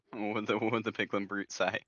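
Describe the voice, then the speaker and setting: A man's voice: on the low side, little pitch movement, fairly quick, a bit bright, dry recording, dull audio. An American man from New Jersey co-hosting a podcast in casual chat, jokey and given to repeating phrases for effect. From New Jersey, United States. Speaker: podcast co-host.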